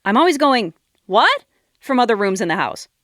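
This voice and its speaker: A woman as a high-voiced, exasperated girlfriend. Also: big pitch swings, moderate pace, fairly bright tone, dry recording, clean studio sound. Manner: sarcastic, exasperated. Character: exasperated, sarcastic, blunt girlfriend. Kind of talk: comedy radio sketch acting.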